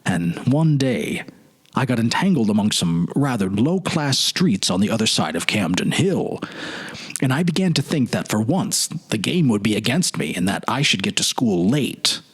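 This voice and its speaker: A wistful middle-aged gentleman, acted by a man, confides his memories in a slightly husky voice. The delivery is hesitant and musing, with lively swings of pitch, like a dramatic storybook reading. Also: normal speed. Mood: animated, wondering.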